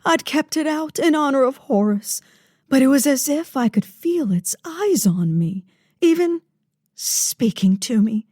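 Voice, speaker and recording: A rich dramatic widow in a radio noir, high voice, swoopy and swoony, pretty dry sound. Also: female voice, animated, a little slow, warm tone, dry recording. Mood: distraught. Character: wealthy melodramatic widow, sultry and self-pitying. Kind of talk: parody of old-time radio detective drama.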